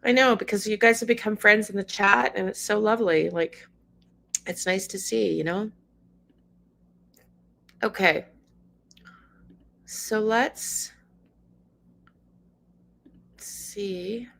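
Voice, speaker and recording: A woman's voice: medium pitch, fairly even intonation, takes it slowly, creaky, pauses often, fairly bright tone. A middle-aged woman, hosting a casual livestream, reading news aloud and chatting with viewers by name. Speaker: livestream host.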